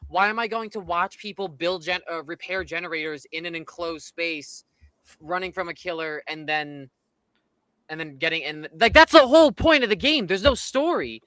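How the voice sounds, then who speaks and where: A man co-hosting a casual live discussion, giving blunt opinions in a halting, exasperated way. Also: high-pitched, pushed and bright, dry recording, poor audio. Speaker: podcast co-host.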